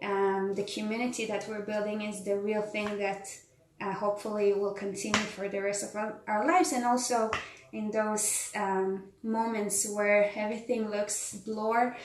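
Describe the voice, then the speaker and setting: Slow-talking Israeli woman cooking live. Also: mid-range voice, little pitch movement, echoey room, low-quality recording. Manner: chatty, reads and answers viewer comments, frequent ums. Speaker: tour educator hosting a live cooking stream. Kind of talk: casual live cooking demo. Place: home kitchen.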